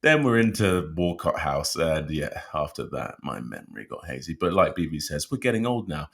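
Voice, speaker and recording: A man in his thirties with an extremely deep, husky voice. He tells rambling stories in a self-mocking way, as a regular on a podcast. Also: big pitch swings, moderate pace. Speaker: podcast co-host. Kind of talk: casual podcast chat.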